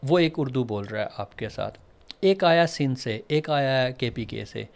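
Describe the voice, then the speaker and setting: Animated man mixing in English. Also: medium pitch, moderate pace, gravelly. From Pakistan. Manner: animated and explanatory, mixing English phrases into Urdu. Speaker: guest entrepreneur. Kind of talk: podcast interview.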